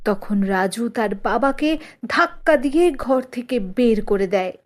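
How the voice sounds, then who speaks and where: A woman with a high voice narrates a children's cartoon story. Her scripted delivery is slow and measured. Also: lively intonation.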